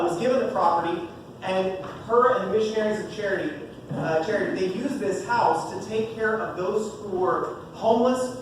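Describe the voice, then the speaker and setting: A booming, high-pitched man in his thirties speaks as a parish youth minister, joking and upbeat with the audience. His voice comes from well away from the microphone and rings around a very echoey room. A steady noise sits under it. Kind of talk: parish talk to parents. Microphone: no mic, heard from far off. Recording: echoey room from far off, noisy.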